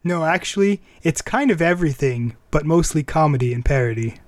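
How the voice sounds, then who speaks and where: Man, podcast host, fairly high voice, casual conversational delivery, very dry recording. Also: lively intonation, fairly quick, no room sound. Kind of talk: casual chat about anime music and games.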